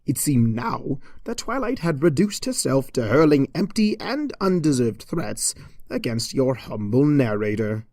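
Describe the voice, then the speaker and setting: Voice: mid-range voice, sing-song, unhurried, a little mellow, dry recording, studio quality. A storyteller reading a comedic story as an arch, mock-pompous narrator in a theatrical manner. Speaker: fan fiction reader.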